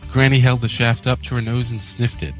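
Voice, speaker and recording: A man's voice: mid-range voice, fairly even intonation, fairly quick, soft background music. A man reading comic fan fiction aloud as co-host of a read-along. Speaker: co-host and story reader.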